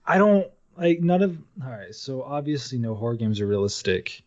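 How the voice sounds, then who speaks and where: A man's voice: mid-range voice, big pitch swings, moderate pace, darkish tone. A man co-hosting a podcast in a casual chat, talking informally and swearing freely. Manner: casual, profane. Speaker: podcast co-host. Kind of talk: casual chat about games. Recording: dull lo-fi audio.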